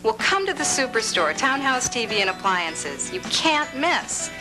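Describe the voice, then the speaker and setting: A woman's voice: on the high side, sing-song, measured pace. A woman announcer reading a retail television commercial with an emphatic sales delivery. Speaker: advertisement announcer. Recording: music behind, 1980s TV ad.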